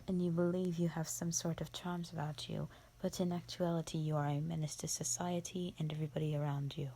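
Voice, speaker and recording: A woman voicing a bored, contemptuous female vampire in an audio roleplay, with a breathy, creaky voice and a disdainful, formal drawl. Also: low voice, dark tone, slight background noise. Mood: scornful, condescending. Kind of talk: scripted roleplay monologue for the listener.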